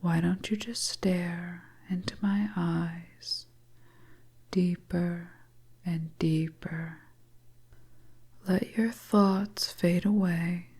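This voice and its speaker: A woman's voice: lowish voice, husky, full character voice. A woman voicing a seductive, commanding witch fortune-teller in a whispered hypnotic ASMR roleplay, coaxing her listener.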